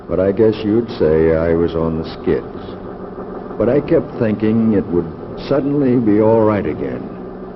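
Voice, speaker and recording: A dull vintage radio recording carries a despondent American husband in a 1950s drama. His voice sits low and moves slowly, heavy with longing and pleading.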